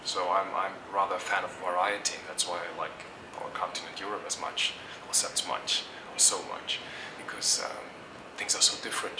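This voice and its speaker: A middle-aged man from Germany answers interview questions thoughtfully, hesitating and restarting often. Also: low voice. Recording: echoey, distant mic, noisy background.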